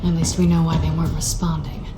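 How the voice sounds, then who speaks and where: Lady as sly flirty thief, wry and cool, lowish voice, roomy, music under it. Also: flat delivery, fast talker, natural voice. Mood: dry, wary. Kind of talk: video game voice acting.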